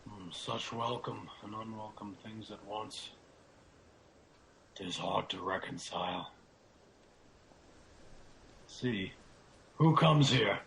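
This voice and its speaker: A man's voice: fairly low pitch, slow pace, creaky, pauses often, fairly bright tone, a little hiss or hum, heavily acted, rough recording. A man playing an emotional, grave nobleman in a Shakespearean radio drama, speaking dramatic verse. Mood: grave, cordial. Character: Macduff, a grief-stricken Scottish nobleman turned vengeful.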